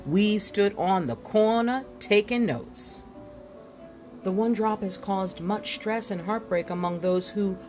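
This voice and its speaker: A woman reading a nonfiction book aloud in a dramatic, deliberate way, leaning on words and leaving emphatic pauses. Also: medium pitch, a little mellow. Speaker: book reader.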